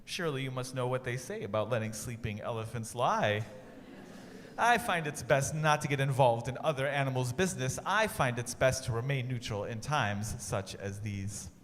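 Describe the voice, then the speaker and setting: A man voicing a detached gazelle who speaks flatly and noncommittally. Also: normal speed, natural voice. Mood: aloof. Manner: flat, lower, noncommittal. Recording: echoey room with faint ambient noise.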